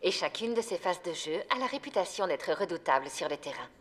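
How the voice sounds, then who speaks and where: A woman's voice: on the low side, lively intonation, a little fast, fairly bright tone. A woman playing a museum guide in a cartoon, delivering formal, presentational scripted narration. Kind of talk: scripted documentary-like narration in a French cartoon dub.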